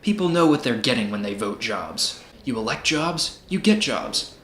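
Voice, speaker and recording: An actor playing a smug, flirtatious, evasive presidential candidate in a comedic audio drama interview, speaking in glib repeated slogans. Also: male voice, medium pitch, big pitch swings, moderate pace, fairly bright tone. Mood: self-assured. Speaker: politician being interviewed. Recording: roomy echo, faint background noise.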